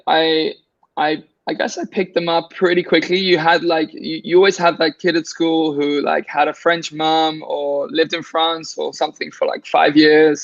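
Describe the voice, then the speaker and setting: Gravelly man on a dull dry line. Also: on the high side, a bit bright, dry recording. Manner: rambling, many restarts and fillers. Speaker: guest. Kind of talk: casual conversation.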